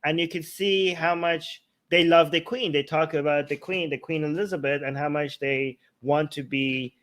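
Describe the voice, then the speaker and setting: Male talk host, fairly high register, informal explainer who often checks the listener is following. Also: steady tone, normal speed, fairly bright tone. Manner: informal, checks in with "okay" and "right". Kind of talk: casual explanatory discussion.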